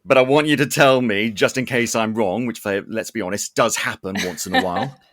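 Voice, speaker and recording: A man hosting a podcast in a casual, animated, self-deprecating and joking interview style. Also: fairly low pitch, brisk. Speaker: podcast host.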